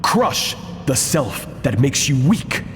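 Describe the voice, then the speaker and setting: An actor playing a stern, merciless master of swordsmanship in a video game, grave and commanding. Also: male voice, medium pitch, big pitch swings, takes it slowly, faint music behind. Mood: cold, severe. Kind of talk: dramatic video game voice acting.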